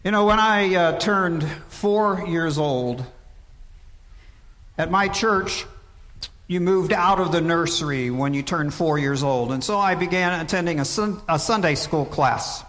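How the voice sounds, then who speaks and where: An older man, a pastor, preaching a sermon and telling a story in an earnest, gentle way. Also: a little high, a little slow. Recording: reverberant church sanctuary.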